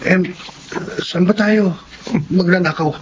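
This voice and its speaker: An actor playing a timid, reluctant young male runaway turned thief, halting and creaky, in a Filipino radio drama. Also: high voice. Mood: nervous, hesitant. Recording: dull, noisy radio drama audio.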